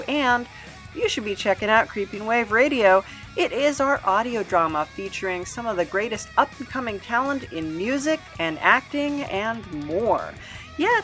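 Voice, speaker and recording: A woman's voice: medium pitch, fairly bright tone. A woman speaking casually and conversationally as the host of a podcast.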